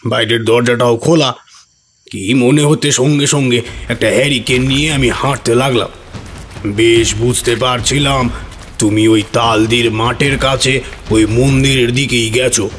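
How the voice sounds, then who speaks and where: A man acting as a worried village manservant in a horror audio drama, speaking gravely and earnestly. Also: mid-range voice, steady tone, unhurried, fairly bright tone, slight background noise, close to an everyday voice. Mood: uneasy recounting. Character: Harihar, worried village manservant recounting an eerie night.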